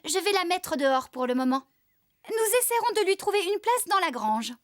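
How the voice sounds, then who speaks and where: A woman's voice: very high-pitched, very animated, brisk. A woman voicing a bossy, helpful farm character in a French children's cartoon dub, speaking emphatically. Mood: brisk, exasperated.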